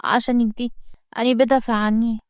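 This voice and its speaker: A woman's voice: fairly high pitch, little pitch movement, a little fast, clean tone, no room sound, studio quality, pitched above the performer's own voice, close to an everyday voice. A woman voicing an earnest young girl pleading with her father.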